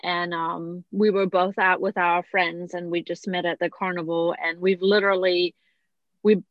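A woman's voice: fairly low pitch, little pitch movement, moderate pace, dry recording, muffled recording. A woman in her forties from Germany, telling her own story conversationally as a podcast guest. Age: 40s.

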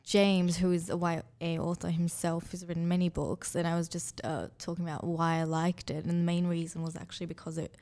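Female co-host, smooth resonant voice, lower pitch, thoughtful chat. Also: little pitch movement, brisk, hardly any pauses, dark tone. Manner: thoughtful, chatty. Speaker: radio co-host. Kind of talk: radio interview.

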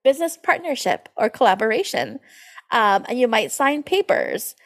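High-voiced woman, chatty podcast co-host. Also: lively intonation, moderate pace. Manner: chatty, riffing, playful. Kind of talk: casual comedic chat about horoscopes.

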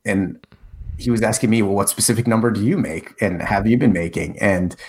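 A man in his forties with a low voice talks through his story in a relaxed, reflective way. He often stops and restarts his sentences. It sounds like a casual interview. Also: a little fast. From United States. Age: 40s. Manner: reflective, with many restarts and fillers. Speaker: guest, corporate communication coach. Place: in the Czech Republic.

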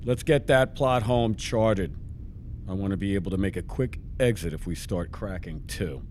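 A man's voice: deep voice, moderate pace, darkish tone, a little hiss or hum, close to an everyday voice. A man playing the terse, decisive leader of a spaceship science crew in an audio drama. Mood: terse, in command.

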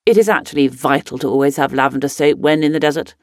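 A woman's voice: low voice, quick pace, no echo, clean studio sound, lightly acted. An actress playing a spirited, headstrong upper-class lady explorer who looks back in a genteel, emphatic dramatic monologue. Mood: proud, confiding. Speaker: actor reading a monologue. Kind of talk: scripted first-person dramatic monologue.

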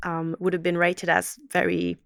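A woman podcast co-host explaining her research in a casual, thoughtful way, often pausing with fillers and restarting sentences. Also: fairly low pitch, monotone, gravelly, a bit bright, no echo, studio quality.

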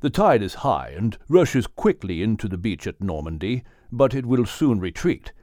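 Giant genteel whale for children's audiobook; courteous, hushed, low, hugely varied intonation. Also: male voice, big pitch swings, moderate pace, no room sound, clean studio sound. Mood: discreet, polite. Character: huge genteel male whale, courteous and unhurried. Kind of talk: audiobook character voice.

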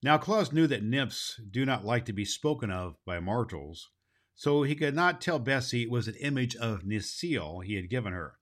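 A man narrates a children's story. His voice sits at a medium pitch and rises and falls a great deal. The delivery is an expressive scripted reading. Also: normal speed.

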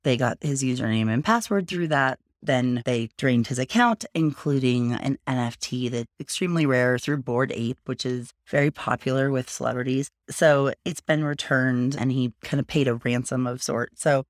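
A sleepy, hoarse woman in her thirties hosting a podcast, rambling in a chatty way and circling back to her point. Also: rumbling low, normal speed, hardly any pauses, no echo, studio quality. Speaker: podcast host. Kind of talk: casual solo podcast talk.

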